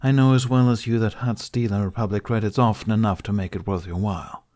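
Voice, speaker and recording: A man voicing a calm, polite warrior-monk negotiator in an audiobook, with a measured, insistent manner. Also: fairly low pitch, close to an everyday voice. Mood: polite, controlled. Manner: measured, formal, impassive.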